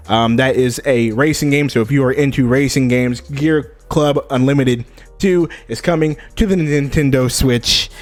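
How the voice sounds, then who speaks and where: A young man hosting a casual gaming news live stream, hyped and chatty, greeting viewers in chat by name. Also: mid-range voice. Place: home streaming setup. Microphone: desk mic.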